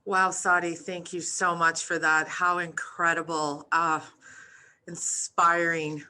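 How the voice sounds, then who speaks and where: Low-voiced, bright woman moderator. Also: little pitch movement, slow. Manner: hesitant, effusive, full of fillers. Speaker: event moderator. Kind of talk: online Q&A moderation.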